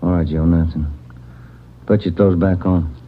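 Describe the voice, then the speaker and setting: An actor playing a plain-spoken, steady police detective, brisk and matter-of-fact, in a 1940s radio drama. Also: male voice, low-pitched, a little hiss or hum. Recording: muffled vintage 1940s radio recording.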